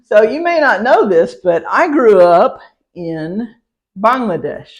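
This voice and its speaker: A woman teaching a citizenship class, talking slowly and repeating each word for her learners. She is patient and laughs lightly between points. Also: medium pitch, lively intonation, highs cut off. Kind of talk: classroom pronunciation lesson.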